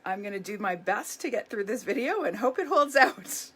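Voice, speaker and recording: A hoarse woman hosting a live craft demonstration, chatty and upbeat, greeting viewers by name as she works. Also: mid-range voice, expressive, moderate pace, a bit bright. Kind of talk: live craft demo chat.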